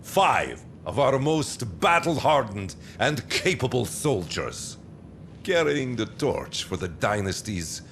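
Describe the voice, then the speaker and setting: An actor playing a menacing, silky, commanding warlord in a video game cutscene, theatrical and condescending in a deliberate delivery. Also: male voice, lowish voice, fairly bright tone, slight background noise, heavily acted. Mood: proud.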